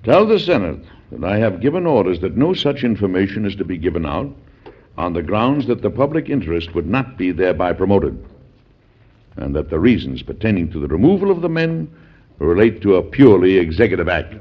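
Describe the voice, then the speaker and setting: A low, very animated voice of a stately American president, formal and wily with good humor. It is classic radio drama acting on a muffled old broadcast recording. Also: male voice, brisk, slightly rough, exaggerated voice. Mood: firm, formal.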